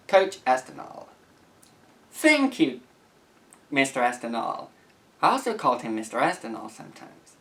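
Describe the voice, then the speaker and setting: A young man of about eighteen reading messages aloud and commenting to camera as a YouTuber, with an earnest, deliberate, halting delivery. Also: fairly high pitch, fairly bright tone, far from the mic. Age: young adult, about 18.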